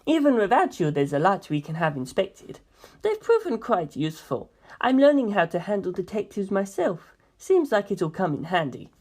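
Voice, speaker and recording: A woman voicing a composed, dryly evasive male mafia member in a measured, matter-of-fact way for a story reading. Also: medium pitch, sing-song, a little mellow.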